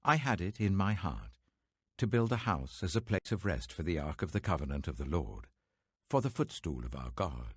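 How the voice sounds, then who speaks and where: A man's voice: deep voice, big pitch swings, moderate pace, fairly bright tone, husky, dry recording, studio quality, full character voice. A British man voicing an aged, devout Israelite king who gives a solemn, weighty, fatherly address in a scripture audiobook. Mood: solemn, proud.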